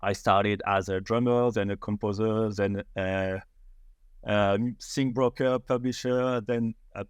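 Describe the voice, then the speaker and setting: A middle-aged man with a French accent talks in a podcast interview, his voice sitting fairly low. He speaks haltingly, with frequent fillers and restarts. The sound is close and dry, with no room around it. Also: fairly even intonation, smooth, no echo. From France. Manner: hesitant, many fillers and restarts. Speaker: startup founder, guest.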